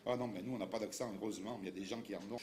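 A man's voice: fairly low pitch, steady tone, rapid-fire, a little mellow, lightly acted. An actor playing a comic, grumbling old Dauphinois countryman with a Dauphinois accent, rambling and stammering in mock indignation. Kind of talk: comic monologue sketch.

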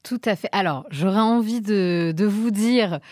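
A French-Italian woman with a French accent, a stage performer explaining herself in a radio interview, with hesitant pauses. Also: mid-range voice, darkish tone, no echo, studio quality. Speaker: guest, stage performer and singer. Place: radio studio.

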